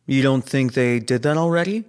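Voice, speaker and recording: An actor playing a chatty, teasing colleague on a space station in a sci-fi audio drama, casual and inquisitive. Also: male voice, mid-range voice, normal speed, a little mellow, clean tone, natural voice. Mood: curious, teasing.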